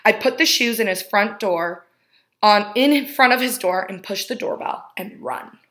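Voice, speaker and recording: A woman reading a children's picture book aloud as a storyteller, expressively and with deliberate emphasis on key words. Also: mid-range voice, a bit bright. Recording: roomy, echoing indoor sound.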